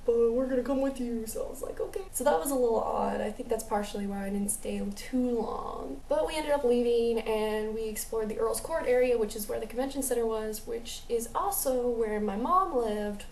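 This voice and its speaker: A woman's voice: fairly high pitch, normal speed, hardly any pauses, darkish tone, reverberant, recorded from a distance, slight background noise, poor audio. A young American woman with a mixed New Jersey and slightly Southern accent, telling a rambling, chatty vlog story full of fillers. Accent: mixed American, New Jersey with a light Southern drawl. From United States. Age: young adult. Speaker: vlogger.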